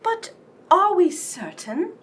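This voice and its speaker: A woman acting as a tipsy lady sleuth from a comic radio mystery. Her voice swings extravagantly from high to low. She takes her time and teases with theatrical relish. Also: big pitch swings, slow, full character voice. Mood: probing. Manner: theatrical, teasing.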